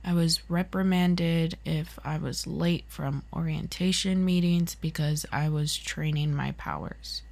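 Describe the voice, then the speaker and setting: A woman's voice: low-pitched, steady tone, a little slow, vocal fry, few pauses, a little mellow. A woman playing a reserved, bitter hero turned villain, speaking with restraint in an ASMR roleplay. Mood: bitter, restrained.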